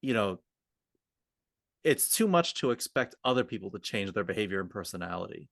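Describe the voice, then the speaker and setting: A man's voice: lowish voice, big pitch swings, brisk, creaky, a bit bright, dry recording, studio quality. A man co-hosting a podcast as a communication coach, talking off the cuff and explaining points with example dialogue. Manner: explanatory, often illustrates points with hypothetical quoted dialogue. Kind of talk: unscripted coaching discussion.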